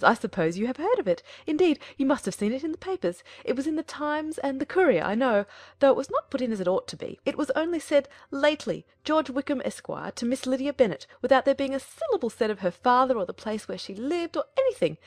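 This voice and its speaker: A woman's voice: on the high side, darkish tone, dry recording, pitched above the performer's own voice. A woman performs a gushing middle-aged mother from a period novel audiobook, fussy and meddling. Her chatter races along and leaps up and down with self-important exclamations, recorded close and dry over music. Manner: effusive, breathless chatter, exclaiming.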